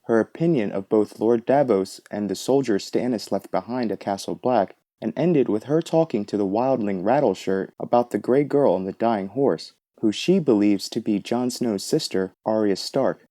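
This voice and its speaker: Man with a very dark, mellow voice. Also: lowish voice, moderate pace, hardly any pauses. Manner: explanatory, earnest. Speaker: fan video host. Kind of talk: book analysis commentary.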